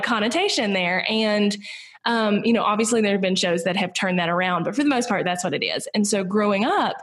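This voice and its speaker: A woman in her thirties with a Southern US accent, chatting as a guest in an interview, rambling with lots of "like" and "you know". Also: medium pitch. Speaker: podcast guest, business owner. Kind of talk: casual conversational interview.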